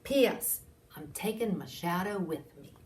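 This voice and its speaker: A woman's voice: lowish voice, lively intonation, unhurried, darkish tone, slight background noise. A woman voicing a proud, hurt male groundhog who declares his grievances emphatically in a storybook reading. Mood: indignant, hurt. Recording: roomy echo, distant microphone.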